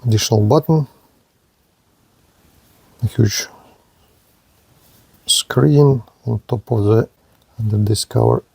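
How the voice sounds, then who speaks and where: Slow, mellow man reviewing gadgets. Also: medium pitch, creaky, husky, dry recording. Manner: halting, short plain phrases. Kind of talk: unboxing and product review narration.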